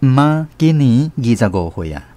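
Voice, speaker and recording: An actor with a Taiwanese accent narrating a family tale as the storyteller in a radio drama. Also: male voice, mid-range voice, sing-song, normal speed, dark tone, faint music behind, close to an everyday voice.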